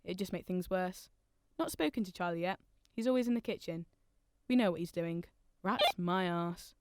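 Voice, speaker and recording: An actress playing a friendly, earnest female research assistant on a deep-sea mission in a radio drama, chatty in manner. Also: expressive, normal speed, natural voice. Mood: cheery, chatty.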